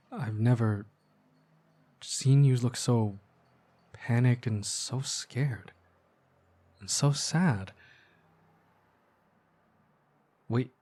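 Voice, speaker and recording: Guy acting as a sweet caring young male best friend, asmr roleplay, mid pitched, chatty n kinda hesitant, earnest. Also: breathy edge. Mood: worried, gentle. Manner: soft-hearted, hesitant, casual chatty phrasing. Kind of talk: ASMR roleplay, intimate one-sided conversational acting.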